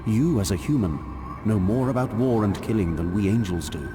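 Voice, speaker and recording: Male actor as noble archangel, deep voice, stately, grave, declamatory, game character, noisy background. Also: expressive, normal speed, natural voice. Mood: grave, urging. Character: solemn, noble warrior archangel, lord of purgatory.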